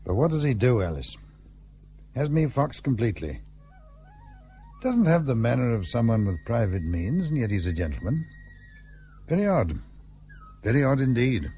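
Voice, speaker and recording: A man plays a sociable, pompous colonial husband in a period radio play. His mid-pitched voice swings with lively emphasis and clipped, hearty phrasing. Faint music lies underneath. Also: moderate pace, long pauses, natural voice. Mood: puzzled, suspicious. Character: blustery, sociable British colonial husband and host.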